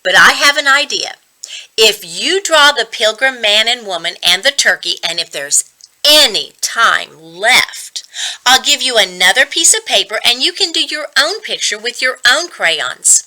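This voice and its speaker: A woman reading a storybook as an accommodating art teacher who explains things to children in a measured way. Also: a little high, lively intonation, pushed and bright, heavily acted, low-quality recording. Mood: conciliatory.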